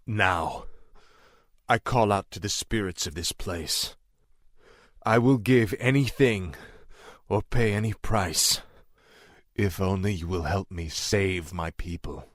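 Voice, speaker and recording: A young human prince consumed by vengeance in a fantasy game. His voice is low and deliberate, theatrical and intense, recorded close and dry. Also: no room sound. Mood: fervent. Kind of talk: fantasy video game acting.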